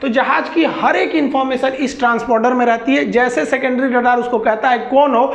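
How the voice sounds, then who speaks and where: A woman's voice: high-pitched, steady tone, brisk. A woman with a Bihari accent giving an informal explainer lecture, colloquial and repeating points with everyday examples. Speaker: teacher. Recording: echoey, dull lo-fi audio.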